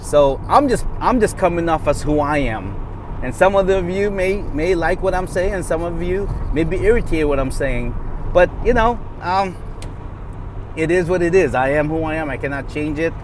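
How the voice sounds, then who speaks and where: A man's voice: a little high, lively intonation, normal speed, slight background noise. A middle-aged man whose first language is Tagalog, talking off the cuff in a rambling vlog with many fillers, recorded while driving. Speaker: vlogger. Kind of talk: casual off-the-cuff vlog talk.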